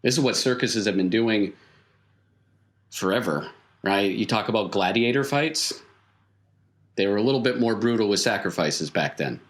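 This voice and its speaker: A man's voice: lowish voice, moderate pace, long pauses. A man acting as game master, improvising explanations in a tabletop story game with emphatic, repeated phrasing.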